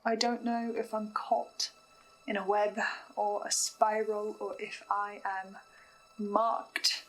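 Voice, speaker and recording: A woman delivering a scripted, dramatic video log monologue with ominous pauses for effect. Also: medium pitch, flat delivery, a bit bright, a little hiss or hum, low-quality recording. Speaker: host of a listener's video log. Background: music behind the voice.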